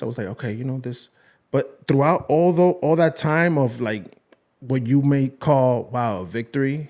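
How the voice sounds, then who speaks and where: A man's voice: medium pitch, a little mellow. A young man hosting a podcast, speaking earnestly and emotionally in a rambling casual chat with many restarts. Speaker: podcast host.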